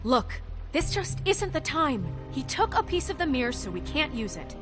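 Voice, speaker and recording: Higher voice, game character, harried office manager, earnest, firm, defensive, music behind. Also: female voice, big pitch swings, normal speed. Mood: defensive, irritated. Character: earnest, stressed, assertive female office administrator. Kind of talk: naturalistic video game acting.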